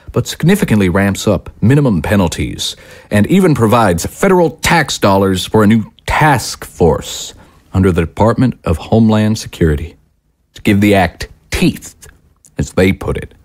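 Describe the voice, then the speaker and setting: An actor playing a fiery right-wing political commentator, delivering an indignant, emphatic broadcast monologue with dramatic pauses. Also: male voice, fairly low pitch. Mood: alarmed, indignant.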